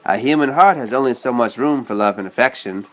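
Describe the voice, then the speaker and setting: A man's voice: medium pitch, fairly quick, fairly bright tone, lightly acted. Man as a cynical, scruffy storybook stray dog. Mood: cynical, knowing. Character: cynical scruffy male stray dog, streetwise know-it-all.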